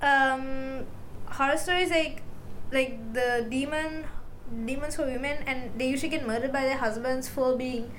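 A woman's voice: sits high, steady tone, measured pace, a bit bright, poor audio. A young woman from Sri Lanka co-hosting a casual chat about folklore, talking loosely with lots of "like". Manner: chatty, heavy use of "like". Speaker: podcast co-host. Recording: faint background noise.

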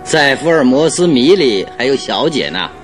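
An actor playing a composed, wryly amused master detective in a radio drama, inquisitive and teasing. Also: male voice, medium pitch, very animated, moderate pace, faint music behind, lightly acted. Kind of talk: Mandarin radio drama acting.

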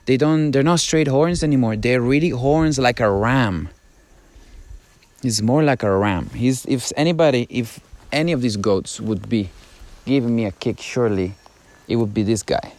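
A man's voice: mid-range voice, dry recording. Italian-accented man musing on a mountain walk. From Italy. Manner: musing, halting, drifts between hushed awe and excited chatter. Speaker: podcast host. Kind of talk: casual walking monologue. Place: outdoors on a mountain trail.

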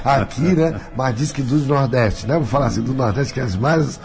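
A man's voice: mid-range voice, normal speed, a crowd in the background. A man with a Carioca accent hosting a radio talk show as a pastor, talkative and full of tag questions. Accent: Carioca Brazilian Portuguese. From Rio de Janeiro, Brazil.

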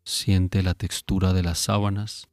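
Man, guided relaxation narration, very slow pace, calm and soothing, long pauses, close dry sound. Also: deep voice, no room sound. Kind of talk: slow guided sleep meditation.

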